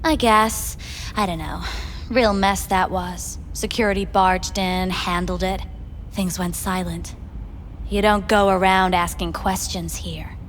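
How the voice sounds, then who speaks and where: A woman's voice: medium pitch, fairly even intonation, takes it slowly, big-room sound, faint music behind, close to an everyday voice. A woman playing a wary, indignant club worker in a video game, curt and evasive. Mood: reluctant, wary.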